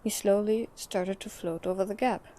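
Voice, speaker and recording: A woman narrates a scripted story. Her voice is mellow and of medium pitch. There is faint noise behind her. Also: steady tone, moderate pace.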